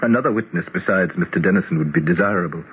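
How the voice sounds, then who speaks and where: An actor playing a courtly, self-assured otherworldly prince in a 1970s radio drama, formal and theatrical. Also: male voice, low-pitched, very fast, lightly acted. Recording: muffled, hissy old radio broadcast.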